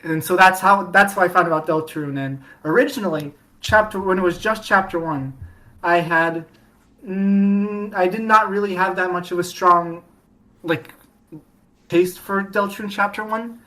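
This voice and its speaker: Woman, podcast guest, slightly low voice, loose rambling delivery full of restarts. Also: normal speed, fairly bright tone, low-quality recording. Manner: rambling, many restarts and fillers. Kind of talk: casual chat.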